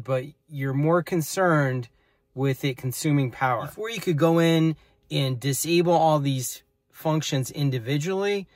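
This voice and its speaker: A man's voice: fairly high pitch, no echo, studio quality. A middle-aged man explaining car features in a casual vlog from inside a parked car, filming on a phone held at arm's length. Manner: plain, matter-of-fact explaining. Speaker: car tech vlogger.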